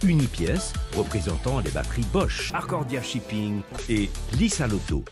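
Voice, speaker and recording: A man's voice: fairly low pitch, big pitch swings, normal speed. A man reading a scripted sponsor announcement. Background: music bed.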